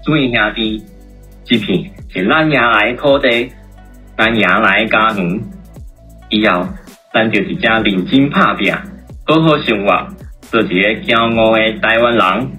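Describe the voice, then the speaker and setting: A man playing a bold young Taiwanese rebel leader in a radio drama, with a rough, husky voice. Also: lowish voice, measured pace, pushed and bright, soft background music, heavily acted, poor audio. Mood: solemn, rousing.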